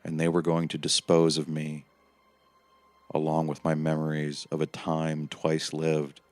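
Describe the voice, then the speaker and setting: A man playing a weary, haunted time-traveling prisoner who narrates his memories in a measured, somber, reflective way, as in an audio play. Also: extremely low pitch, completely flat delivery, darkish tone, no echo. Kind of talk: scripted first-person dramatic narration.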